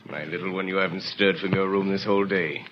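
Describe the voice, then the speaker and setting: An actor playing a tender, protective older father, speaking softly and with measure in 1930s radio drama style. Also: deep voice, steady tone, close to an everyday voice. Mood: tender, concerned. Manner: soft, measured, coaxing. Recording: muffled, faintly noisy 1937 radio broadcast.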